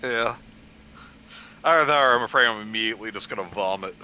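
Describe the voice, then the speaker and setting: A man's voice: fairly low pitch, fast, sharp tone, lo-fi sound, slight background noise. A young man co-hosting with casual, mocking comic banter and some swearing. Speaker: co-host.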